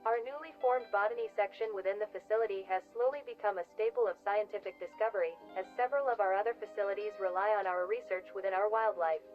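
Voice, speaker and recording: A woman's voice: mid-range voice, quick pace, few pauses, soft background music, close to an everyday voice. A woman playing a polished corporate recruitment announcer, reading formal promotional narration. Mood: polished, inviting. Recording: dull lo-fi promo video audio.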